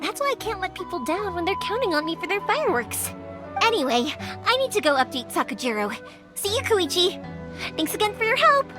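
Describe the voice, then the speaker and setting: A woman's voice: extremely high pitch, big pitch swings, brisk. An actress playing a cheerful, bold young woman in a video game, breezy and chatty in delivery. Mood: upbeat. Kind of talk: English video game voice acting. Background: background music.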